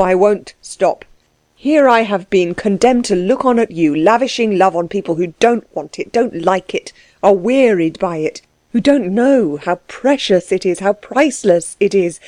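A woman's voice: medium pitch, darkish tone, no room sound, natural voice. A woman voicing an impassioned, insistent man pleading for love in an audiobook story. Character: lovesick man, intense, pleading, insistent, hopeless.

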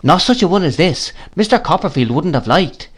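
A man's voice: a little high, lively intonation, brisk, husky, voice pushed up. A man voicing a blunt, stubborn older female servant who speaks emphatically, in a read-aloud story.

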